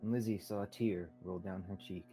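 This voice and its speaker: A man's voice: sits low, steady tone, fairly quick. A young man reading scripted narration as the storyteller of a student radio drama. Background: soft music.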